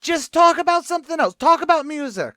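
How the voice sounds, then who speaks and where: A man's voice: very high-pitched, animated, brisk, bright, forceful tone, dry recording, clean studio sound, heavily acted. A man playing a brash, slangy tavern host with a New York accent in an old-time radio sitcom. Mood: exasperated. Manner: slangy, mangled grammar, eager chatter.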